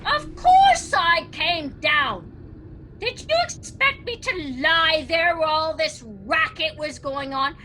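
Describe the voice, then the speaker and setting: An actress playing a nagging, self-pitying, fearful wife in a melodramatic 1940s radio drama style, shrill and complaining. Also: female voice, sing-song, takes it slowly, slight background noise, exaggerated voice, poor audio. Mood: irritated, complaining. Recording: old 1940s radio recording, loud music.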